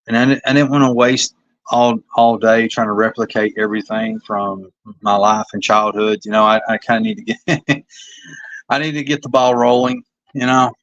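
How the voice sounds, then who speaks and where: A man's voice: medium pitch, fairly bright tone, no echo, muffled recording. A man with a Kentucky accent, a comic artist talking casually and self-critically about his pages on a livestream. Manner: rambling, self-critical, hesitant. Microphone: phone.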